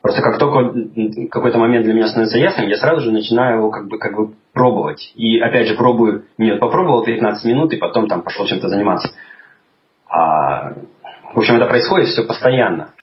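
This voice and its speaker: A man talking in a relaxed conversation, thoughtful and hesitant, with many restarts as he explains things. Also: lowish voice, quick pace. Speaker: guest. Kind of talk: casual conversation. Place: lives in England. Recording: slightly dull lo-fi audio with faint noise.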